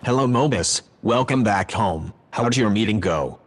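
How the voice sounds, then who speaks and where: A synthetic male voice playing a calm, helpful AI house assistant in a sci-fi audio drama, courteous and formal. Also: lowish voice, unhurried. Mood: calm, concerned. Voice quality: synthetic text-to-speech sound.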